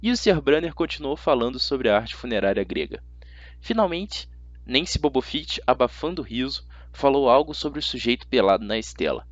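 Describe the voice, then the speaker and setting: Casting: Brazilian male amateur narrator, expressive storytelling, highly varied intonation, dry close-miked recording. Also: fairly quick, dry recording, dull audio.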